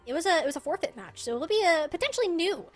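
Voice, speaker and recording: Woman, high-pitched, chatty esports host, casual live interview style, faint music. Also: expressive, very fast.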